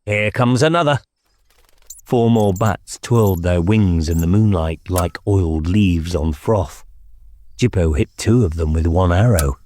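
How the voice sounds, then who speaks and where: Deep, dark-toned male audiobook narrator. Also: a little slow. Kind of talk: scripted dramatic horror narration.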